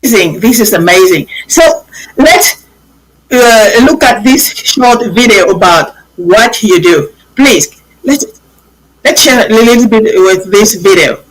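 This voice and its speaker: A woman's voice: mid-range voice, expressive, takes it slowly, low-quality recording. A woman hosting a live television interview. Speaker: TV show host.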